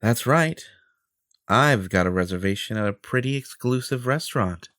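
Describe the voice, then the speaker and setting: A man's voice: medium pitch, lively intonation, normal speed, fairly bright tone, no echo, clean studio sound, natural voice. A man playing a vain, possessive superhero in an audio roleplay, theatrical and self-important, with a flirtatious streak. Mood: smooth, flirtatious.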